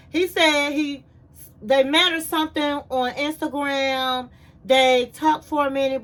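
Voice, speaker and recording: A woman casually recapping a reality show, telling it like a story, acting out the quotes and calling her viewers queens. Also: high-pitched. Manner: animated storyteller, acts out quotes, says "honey" and "my queens".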